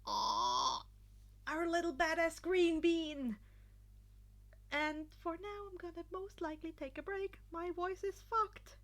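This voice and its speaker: Female amateur story narrator, high, bright voice, dramatic yet informal. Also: slow pace. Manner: dramatic, expressive. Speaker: podfic reader. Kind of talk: expressive story narration with casual asides.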